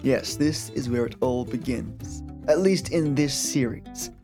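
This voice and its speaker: A man's voice: mid-range voice, unhurried, warm tone. A man hosting a podcast, giving a chatty scripted introduction in a wry, friendly manner. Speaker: podcast host. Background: plucked guitar music.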